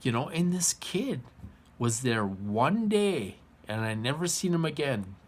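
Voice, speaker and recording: A man's voice: mid-range voice, big pitch swings, measured pace. An American man in his forties giving an informal monologue to viewers, colloquial, repetitive and emphatic. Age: 40s. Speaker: vlogger.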